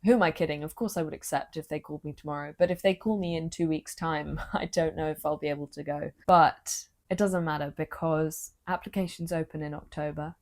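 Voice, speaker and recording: A young woman, vlogging earnestly into her phone with a low-energy voice, confiding and emotional. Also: steady tone, a little fast, hardly any pauses, darkish tone. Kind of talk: personal vlog talking to camera.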